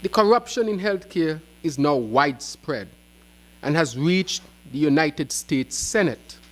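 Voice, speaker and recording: A man giving a prepared press conference speech as an opposition spokesman, in deliberate, emphatic short phrases. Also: fairly high pitch, lively intonation, a bit bright.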